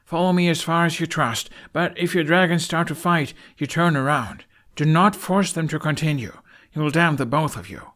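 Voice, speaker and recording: A man's voice: on the high side, moderate pace, hardly any pauses, voice pushed up, lightly acted. A man voicing an urgent young dragon-riding leader. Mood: urgent, grim. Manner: short, sharp, insistent delivery. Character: young male future chief, urgent, commanding, anxious dragon rider. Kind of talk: audiobook character acting.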